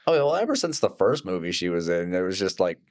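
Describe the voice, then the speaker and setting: A man's voice: low-pitched, big pitch swings, fast talker, no room sound, studio quality. A man co-hosting a podcast in casual chat, laid-back, with chuckling asides. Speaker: podcast co-host.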